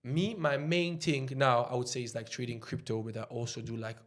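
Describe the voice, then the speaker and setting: A man's voice: medium pitch, fairly even intonation. Swiss French man, rambling casual talk. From Switzerland. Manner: loose and halting, heavy on "like" fillers. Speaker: podcast guest, trader.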